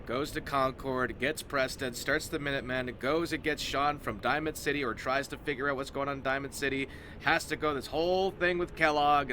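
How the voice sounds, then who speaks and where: A man's voice: medium pitch, fairly bright tone, a little hiss or hum. A man giving casual, explanatory game commentary in an informal, emphatic manner, as host of a roleplay series.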